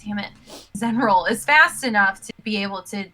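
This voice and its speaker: Bright-voiced woman in casual game chat. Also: mid-range voice, normal speed, rough recording. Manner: casual, loose, mild swearing. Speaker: tabletop game player.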